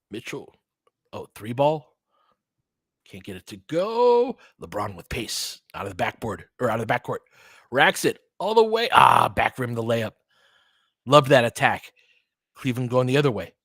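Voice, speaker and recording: Male basketball commentator, mid pitch, dry close sound; clipped informal calls with a fan's slant. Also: fairly bright tone, husky, no room sound. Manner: clipped short phrases, informal slang, partisan fan reactions. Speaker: play-by-play commentator. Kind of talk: casual live basketball play-by-play commentary with analysis.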